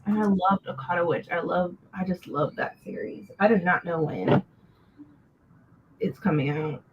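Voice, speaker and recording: A woman in her thirties co-hosting a casual book chat, relaxed and teasing. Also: on the low side, normal speed, slight background noise. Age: 30s. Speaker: co-host of a book livestream. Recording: dull lo-fi stream audio.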